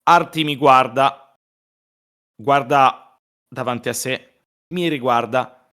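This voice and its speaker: A man chatting casually as co-host of a stream, telling teasing anecdotes in an animated way and mimicking quoted lines. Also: medium pitch, slow pace.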